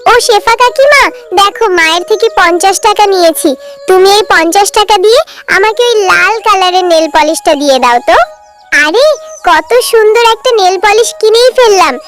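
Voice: extremely high pitch, animated, moderate pace, a bit bright, soft background music. A performer voicing a sweet, polite, pleading little girl in a children's cartoon.

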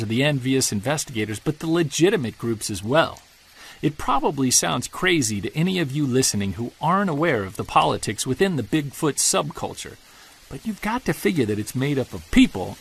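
An actor playing a weary middle-aged Bigfoot investigator, narrating an audio diary in an earnest, confessional way. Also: male voice, medium pitch, very animated, normal speed, creaky, slightly rough, full character voice. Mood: troubled. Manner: confessional, earnest, quietly troubled. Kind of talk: scripted audio drama narration.

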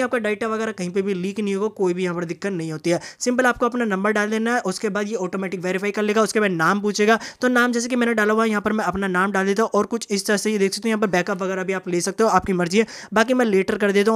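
A young man hosts a tech tutorial in a notably high voice. He speaks at a rapid clip, casually guiding viewers through the steps. His manner is informal and chatty. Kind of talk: casual how-to narration.

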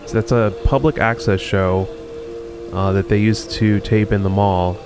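A man with a fairly low voice narrates a tour in a relaxed, wandering way, pausing often with ums and uhs. Faint music plays underneath him. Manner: unhurried, musing, many ums and uhs. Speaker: video host touring a closed-down mall. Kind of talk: casual walkthrough narration.

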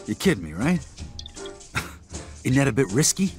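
A man's voice: mid-range voice, big pitch swings, unhurried, music in the background. An actor playing a young man home from the war, casual and streetwise, in a game cutscene. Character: young war veteran home on leave, wry.

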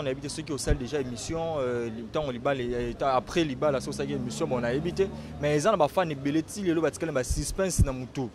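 Congolese male, 30s, interview host, medium pitch, casual delivery, clear crowd chatter in background. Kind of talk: casual TV interview, switching languages. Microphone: handheld interview microphone. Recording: outdoor recording with busy background chatter.

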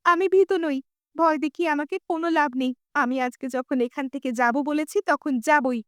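A woman's voice: high voice, fairly quick, fairly bright tone, no echo, clean studio sound. A woman voicing a brave, defiant village girl in a cartoon, sounding determined. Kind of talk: dubbed cartoon dialogue.

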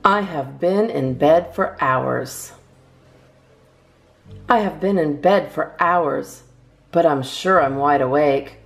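Low, animated woman reading children's poems. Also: gravelly. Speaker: storytime host reading a picture book. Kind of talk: expressive read-aloud of children's poems.

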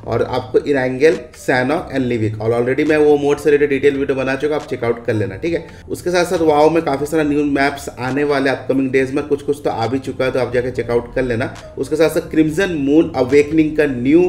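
A man's voice: mid-range voice, brisk, hardly any pauses, a little mellow. An Indian man hosting a gaming video, giving a casual walkthrough commentary with repeated stock phrases. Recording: echoey room with faint background noise.

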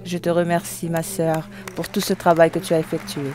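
An interpreter giving a live spoken translation of a formal speech, phrase by phrase, with halting self-corrections. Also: mid-range voice, level tone, a crowd in the background.

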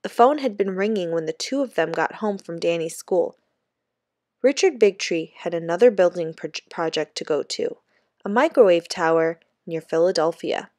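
A woman's voice: fairly low pitch, lively intonation, moderate pace, darkish tone, no room sound. Woman reading a children's book aloud, dry sound.

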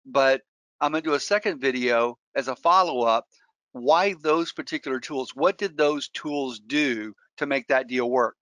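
A man's voice: mid-range voice, fairly bright tone, no echo. A middle-aged man, a real estate investor, giving an earnest webinar talk and telling stories with frequent restarts. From Colombia. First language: Spanish. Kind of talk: webinar presentation.

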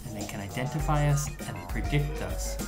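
The middle-aged author in an office interview, leaning into every explanation while music plays behind him. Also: male voice, medium pitch, a little fast. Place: indoors in an office room.

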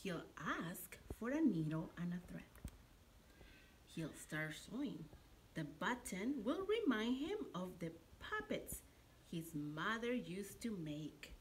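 A middle-aged woman reading a picture book aloud to young children in an expressive, deliberate storytelling manner, like a preschool teacher. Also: fairly low pitch, rough recording. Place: indoor classroom. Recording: noticeable steady room background noise.

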